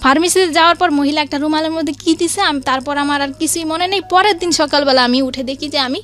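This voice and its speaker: A woman's voice: sits high, fast talker, a bit bright, soft background music. A woman with a Bangladeshi accent giving a spontaneous personal account as a guest, emphatic, often repeating and quoting others. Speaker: guest recounting a family incident.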